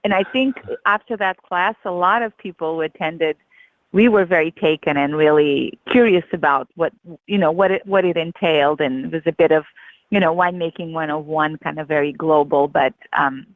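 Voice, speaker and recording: A woman's voice: low-pitched, normal speed, no echo. A woman from Portugal, a winemaker giving earnest, lengthy interview answers. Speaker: guest winemaker. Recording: tinny phone line.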